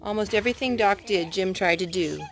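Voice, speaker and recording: A woman reading a children's story aloud as a storytime host, expressive, as if to a child. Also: medium pitch, fairly quick, background noise. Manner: expressive, chatting to a child between passages.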